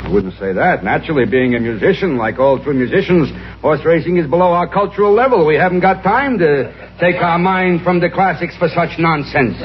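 An actor playing a pompous, penniless music maestro in a 1950s radio sitcom, stammering when caught out. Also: male voice, on the high side, a little fast, hardly any pauses, slight background noise, natural voice. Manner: pompous, then sheepish, with comic stammers. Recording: dull old radio broadcast with studio audience.

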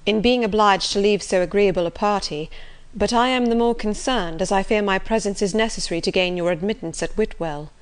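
A woman voicing a grave, guarded gentleman colonel in a storybook, speaking with formal politeness. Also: medium pitch, brisk, smooth, natural voice. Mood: regretful. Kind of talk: acted storybook dialogue.

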